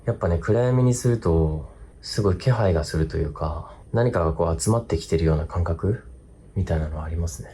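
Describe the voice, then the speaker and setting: A man hosting a ghost-hunting vlog, talking to camera by himself in short, halting fragments. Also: low voice, mellow, a little hiss or hum. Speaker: host of a ghost-hunting video series. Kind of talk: hushed solo vlog narration to camera. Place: indoors, hotel room. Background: music.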